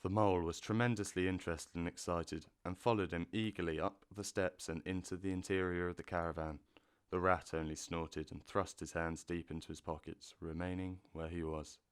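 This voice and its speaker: Deep-voiced male storybook narrator. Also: level tone, normal speed, smooth.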